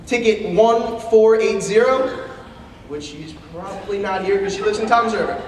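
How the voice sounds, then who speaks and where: A man speaking loudly and casually to an audience in a school auditorium, with filler words between phrases. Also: high-pitched, normal speed. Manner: loud and casual with hesitant fillers. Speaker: event announcer. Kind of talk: thanking an audience and drawing a raffle. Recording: distant voice in an echoey hall.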